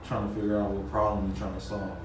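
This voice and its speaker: A man's voice: lowish voice, monotone, fast talker, noisy background. A man talking about his music production work in a casual interview, laid-back and repeating "you know what I'm saying.". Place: loud party venue. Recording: echoey, distant mic, crowd behind.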